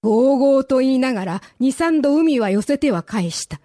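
A woman's voice: high-pitched, animated, normal speed, dark tone, no echo, studio quality. A woman narrating a radio drama as a scripted, dramatic story.

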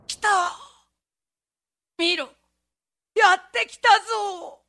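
A woman's voice: very high-pitched, extremely slow, gravelly, bright, forceful tone, heavily acted. Woman acting a stern, urgent old village headman. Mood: grave, urgent. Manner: commanding, blunt old-man speech. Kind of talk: radio drama acting.

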